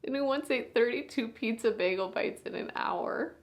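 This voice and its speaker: A woman performing a monologue as a weary, grieving big sister speaking at her younger sister's bedside, moving between hushed whispers and raised anger. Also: fairly high pitch, moderate pace, gravelly, voice pushed up. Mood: angry, fond.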